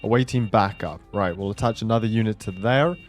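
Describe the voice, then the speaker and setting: A man's voice: fairly low pitch, brisk. A man giving casual gameplay commentary as a video host, thinking aloud as he goes. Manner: thinks aloud, talks himself through decisions. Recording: music behind the voice.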